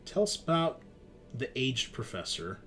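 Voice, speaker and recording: A man narrating a tabletop roleplaying game as game master, in an informal, halting way. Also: medium pitch, very animated, a bit bright. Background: music.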